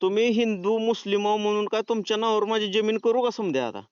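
A man's voice: high voice, fast talker, clean tone, no room sound. A man with a rural Marathwada Marathi accent, arguing indignantly over the phone. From Tuljapur area, Maharashtra, India. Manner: indignant, argumentative. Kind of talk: heated phone argument. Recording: dull lo-fi phone call recording.

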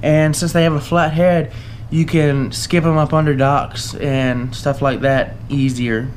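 A man's voice: on the high side, a little hiss or hum. A young man with a Southern US accent, hosting his fishing channel and casually walking through tackle for a giveaway, with plenty of uh and um. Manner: relaxed, halting, lots of uh and um. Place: indoors at a table, camera overhead.

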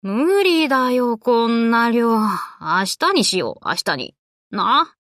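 A woman voicing a brash, tomboyish young warship girl in an anime game, talking in rough, cocky, casual boyish slang. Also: a little high, sing-song, slow, a bit bright, no echo, studio quality, heavily acted. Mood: whiny. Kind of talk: Japanese anime game voice lines.

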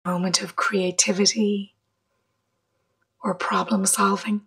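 A woman leading a guided meditation in a soft, breathy voice, gently, with long pauses between short phrases. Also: medium pitch, level tone. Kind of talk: guided meditation, slow and measured.

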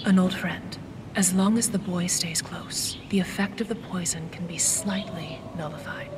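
An actress playing a mysterious, ominous watcher of dreams in a fantasy audio drama, speaking in a cryptic, deliberate way. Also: female voice, fairly low pitch, fairly even intonation, heavy background noise, lightly acted. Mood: grave, secretive.

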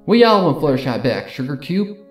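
A man's voice: a little high, very animated, fairly quick. A man voicing a firm, folksy female farm pony in a story, scolding and no-nonsense. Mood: stern, exasperated. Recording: music behind the voice.

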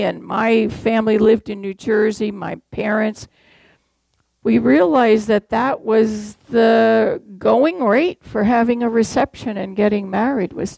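A woman's voice: fairly high pitch, vocal fry, darkish tone, no echo, low-quality recording. An older woman from Massachusetts preaching a sermon from a church pulpit, telling stories and asking the congregation rhetorical questions. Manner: conversational storytelling with rhetorical questions.

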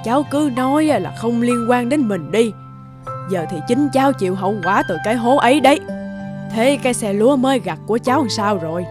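A woman voicing a kindly, worrying old village grandmother who gently chides. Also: fairly high pitch, lively intonation, a little fast, over music, close to an everyday voice. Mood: chiding. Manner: fretful, gently chiding. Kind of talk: animated folk tale dubbing.

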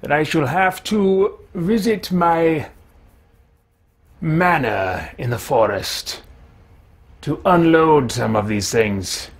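A man voicing a fussy, absent-minded, genteel fantasy wizard, musing in formal, deliberate phrases as he roleplays through a video game. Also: a little high, expressive, full character voice. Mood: puzzled, musing. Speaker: let's-play streamer roleplaying his game character. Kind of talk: in-character game roleplay commentary. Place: small indoor room at home.

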